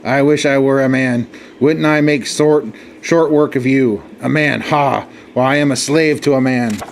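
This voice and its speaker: A man's voice: medium pitch, fairly even intonation, a little slow, slight background noise, lightly acted. A man reading a story aloud and voicing a proud, contemptuous camel that snorts and sneers. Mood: scornful. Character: scornful sneering male camel.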